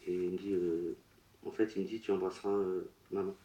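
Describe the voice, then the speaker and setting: A man working as a medium at a public séance, relaying messages in short, broken, halting phrases. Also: sits low, little pitch movement, mellow, highs cut off. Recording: roomy echo.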